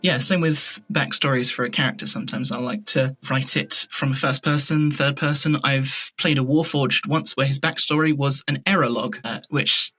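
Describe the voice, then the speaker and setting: A man's voice: mid-range voice, steady tone, normal speed, hardly any pauses, bright, forceful tone, slightly rough. A man speaking as a podcast guest in casual interview chat about his creative work, reflective and informal, often rephrasing mid-thought. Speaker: podcast guest, fantasy audio drama creator.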